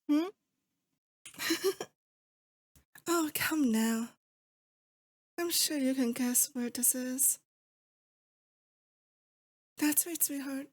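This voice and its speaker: A woman playing a possessive, affectionate demon lord in an intimate ASMR roleplay, speaking to her captive sweetheart in a doting, wistful, unhurried way. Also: high voice, a little mellow. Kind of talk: roleplay ASMR, intimate scripted monologue to the listener.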